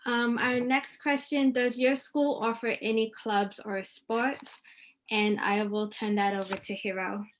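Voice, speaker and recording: A woman moderating a virtual school fair panel, politely and briskly handing questions to each school over a video call. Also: on the high side, level tone, slight background noise, rough recording.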